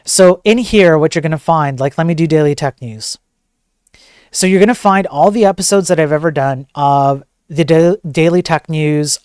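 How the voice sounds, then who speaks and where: A man with a soft voice chatting casually to viewers as a livestream host, in an informal, joking, rambling way. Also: on the high side, clean tone, no echo, studio quality.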